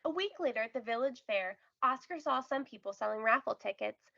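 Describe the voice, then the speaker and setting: A woman with a high, creaky-edged voice reads a children's story aloud. She is careful and expressive, and the recording sounds a little dull. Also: a little fast, dry recording. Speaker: storybook reader. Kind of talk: children's read-aloud narration.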